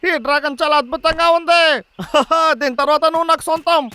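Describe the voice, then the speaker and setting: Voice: high-pitched, fairly even intonation, a little fast, a crowd in the background, natural voice. A voice actor playing a scheming, boastful male hunter, the gloating villain of a dubbed children's cartoon. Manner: gloating, self-satisfied. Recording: music under the voice.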